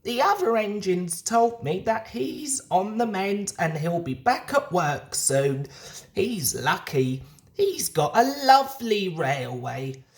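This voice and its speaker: A woman voicing a proud, animated little steam engine. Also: mid-range voice, slow pace. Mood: happy, content. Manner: boastful, dramatic storytelling. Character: proud, chatty male steam engine recounting adventures.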